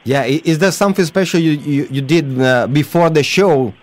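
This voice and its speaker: A man with an Italian accent interviewing for radio in non-native English, haltingly searching for his words. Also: on the high side. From Italy. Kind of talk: interview questions.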